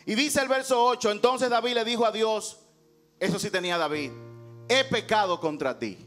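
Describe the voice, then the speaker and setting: Guy pastor preaching a sermon, high voice, emphatic and rhetorical, bit of instrument music in the back. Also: moderate pace, a bit bright. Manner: emphatic, rhetorical, dramatizing dialogue from scripture.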